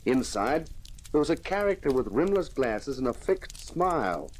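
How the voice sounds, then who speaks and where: A man's voice: mid-range voice, big pitch swings, moderate pace. An actor playing a weary, wisecracking male television star with a flippant, slangy manner in an old-time radio detective drama. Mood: dry narration. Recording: old radio recording with faint hiss.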